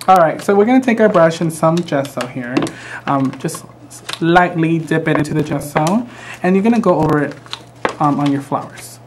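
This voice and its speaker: A man's voice: fairly high pitch, expressive, moderate pace, noisy background. A man with a Mexican American accent demonstrating a craft project live, chatty and easygoing with frequent ums. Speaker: craft instructor.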